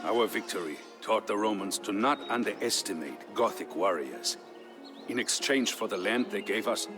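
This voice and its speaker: Music plays prominently behind a man with a low voice. He delivers dramatic scripted narration as a grave Visigoth recounting his people's history. His delivery is solemn, measured and theatrical, in the manner of a historical game storyline.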